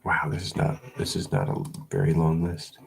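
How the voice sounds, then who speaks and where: A man's voice: rumbling low, expressive, normal speed, gravelly, a little hiss or hum. A man chatting casually to his viewers, congested and sniffly from an illness, rambling with frequent restarts. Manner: rambling, restarts, soft chuckles. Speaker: video creator. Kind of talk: casual vlog chat.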